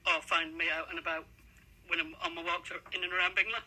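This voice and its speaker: A man's voice: on the high side, flat delivery, fast talker, sharp tone, poor audio. A man answering informally as a radio interview guest, plain-spoken and earnest, with hesitant phrasing. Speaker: interview guest, charity fundraiser. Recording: radio interview with faint background noise.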